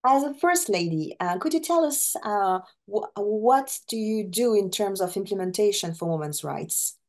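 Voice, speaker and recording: A woman's voice: medium pitch, lively intonation, moderate pace, a little mellow. A woman in her forties interviewing over a video call, asking questions with many hesitant fillers.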